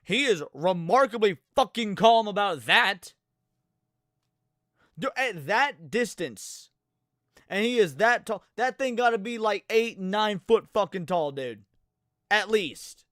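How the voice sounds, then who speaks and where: Male streamer, high-pitched voice, animated and sweary, casual live horror reactions, dry recording. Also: slow, no echo. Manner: animated, profane slang, exclaiming.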